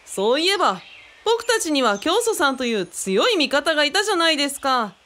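A woman's voice: high voice, sing-song, brisk, noisy background. A woman playing an eager, kindly young craft enthusiast who speaks politely and keenly in a radio drama. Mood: eager, pleading. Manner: keen, polite, explanatory.

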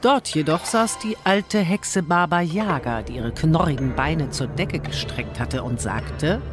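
A woman's voice: low-pitched, expressive, a little slow, music in the background. A woman narrating a fairy tale from a script as a storyteller.

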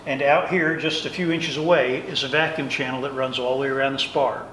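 A man in his sixties giving an unscripted technical shop tour, often pausing mid-phrase as he explains. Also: mid-range voice, fairly even intonation, a bit bright. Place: large indoor workshop and hangar. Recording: roomy workshop echo, faint noise.

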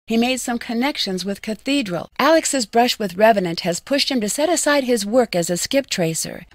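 A young woman playing an inquisitive, earnest young female detective in a video game, speaking in a clear, measured way. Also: medium pitch, no room sound, clean studio sound, natural voice. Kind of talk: scripted video game voice acting.

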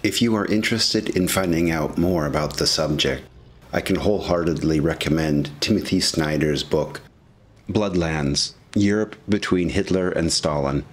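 A man narrating a scripted historical documentary in a measured, serious manner. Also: very deep voice.

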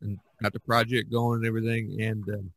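A man hosting a casual podcast conversation, talking in a halting way with many fillers and restarts. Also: fairly low pitch, little pitch movement, a bit bright, no echo. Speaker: podcast host.

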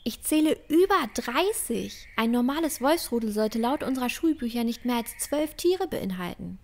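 Woman acting smart bossy curious village girl in fantasy audio play, highish voice with lots of creak. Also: lively intonation, moderate pace. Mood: amazed. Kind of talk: fantasy audio drama dialogue.

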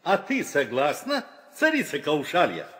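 A man's voice: on the high side, wildly swooping pitch, a little slow, fairly bright tone, exaggerated voice. An actor playing a weary, authoritative old king and father, solemn and declamatory in a theatrical audio play. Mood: resolute. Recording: reverberant 1960 audio play record.

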